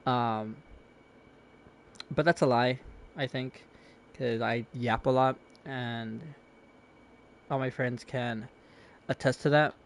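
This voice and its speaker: A man's voice: mid-range voice, steady tone, takes it slowly, long pauses. A young man casually rambling and chatting to viewers while streaming a game from his bedroom, self-deprecating and talkative.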